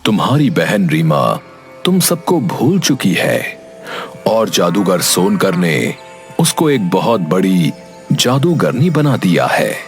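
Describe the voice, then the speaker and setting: Male actor as fatherly storybook guru: solemn, low, very unhurried, music clearly behind. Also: very animated, exaggerated voice. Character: solemn all-seeing male sage, fatherly.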